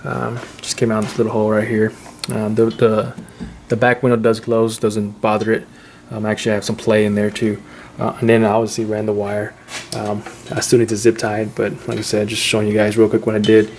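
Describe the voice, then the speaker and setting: A man giving a casual, unscripted how-to in an informal, halting, repetitive way, like a hobbyist vlogger. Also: fairly low pitch, completely flat delivery, a little mellow, slight background noise. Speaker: vlogger showing a DIY car install. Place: in and around a Jeep.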